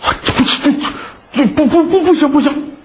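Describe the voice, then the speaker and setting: A man's voice: very high-pitched, fast, vocal fry. A man playing an honest young peddler who stammers in a flustered, raised voice. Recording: tinny phone-like sound.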